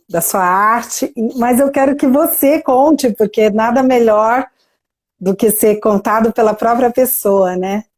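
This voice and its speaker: A woman's voice: fairly high pitch, darkish tone. A woman with a Brazilian Portuguese accent hosting a live interview over a video call, effusive and chatty, tagging sentences with questions. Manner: effusive, chatty, many "né?" tags. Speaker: live-stream host and interviewer. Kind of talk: warm conversational interview.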